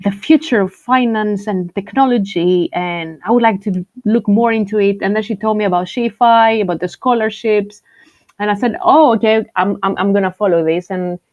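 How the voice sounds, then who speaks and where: A woman from Greece, talking loosely and warmly as a guest, filling her pauses with "uh" and "um" and restarting her sentences. Her voice sits in a middle pitch. The audio is dry and muffled, like an online voice chat. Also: no room sound. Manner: chatty, frequent fillers and restarts. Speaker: guest, journalist and content creator. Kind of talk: casual interview chat.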